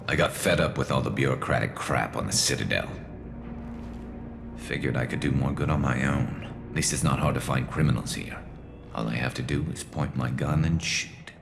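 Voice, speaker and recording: A man playing a weary, wry alien vigilante sniper in a video game, speaking in a dry, laconic, gravelly way. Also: normal speed, background noise. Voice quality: gravelly, low. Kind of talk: video game cutscene acting. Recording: music behind the voice.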